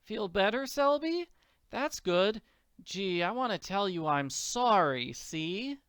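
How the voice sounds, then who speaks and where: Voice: medium pitch, sing-song, slow, fairly bright tone, clear, resonant tone, no room sound, studio quality, pitched above the performer's own voice. Performer as a plucky, slangy orphan girl. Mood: remorseful. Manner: folksy slang, earnest musing.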